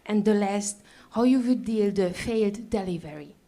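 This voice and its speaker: A woman's voice: medium pitch, moderate pace, mellow. A woman academic putting a question from the audience at a conference.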